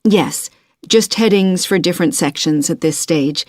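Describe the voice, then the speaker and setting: A helpful university tutor with a slightly low voice. She explains things in a measured, instructive way, as in a scripted exam dialogue. The recording is dry. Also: a little mellow, no echo. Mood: helpful, advising. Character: helpful university tutor, woman, advising a student. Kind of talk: scripted listening-test dialogue.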